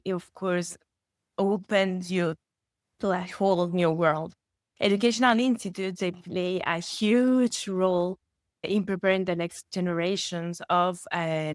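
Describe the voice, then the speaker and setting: A woman's voice: on the low side, clean tone, no echo, clean studio sound. Earnest woman. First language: Spanish. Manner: earnest, with frequent restarts and rising excitement. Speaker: guest expert. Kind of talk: conversational podcast interview.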